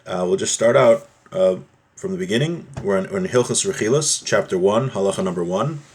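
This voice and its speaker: A man with a fairly low voice leads an informal religious text lesson. He reads short source phrases, then explains them in plain terms, repeating and rewording his points and asking questions he goes on to answer. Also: expressive, fairly quick, a little mellow. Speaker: religious teacher leading a class.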